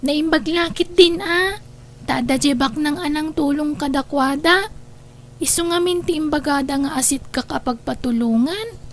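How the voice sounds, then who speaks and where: A woman's voice: high voice, normal speed, a little hiss or hum. A woman voicing a poor, dutiful elder sister, a young girl who speaks earnestly and respectfully in an Ilocano audio drama.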